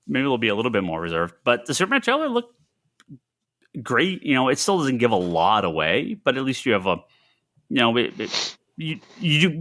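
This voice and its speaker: A man chatting casually as a podcast co-host, thinking aloud in a halting, rambling way. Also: mid-range voice, pushed and bright. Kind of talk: casual chat about comics and films.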